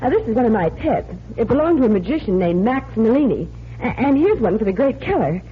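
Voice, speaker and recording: Pert, animated wisecracking female assistant. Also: a little high, a little fast, husky, exaggerated voice. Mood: teasing. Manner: teasing, sardonic. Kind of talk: 1940s radio acting. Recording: tinny, noisy 1940s radio recording.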